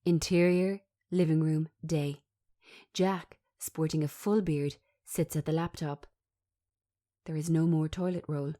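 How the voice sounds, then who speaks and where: A woman reading a script aloud as narrator, giving the stage directions in a measured, descriptive manner. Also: low voice, fairly even intonation, mellow.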